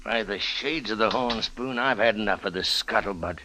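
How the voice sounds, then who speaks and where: A man's voice: on the low side, moderate pace, bright, forceful tone, slight background noise. An actor playing a blustering, impatient tough guy in old-time radio drama, brusque and slangy. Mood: impatient, surly. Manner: rough slangy speech, brusque.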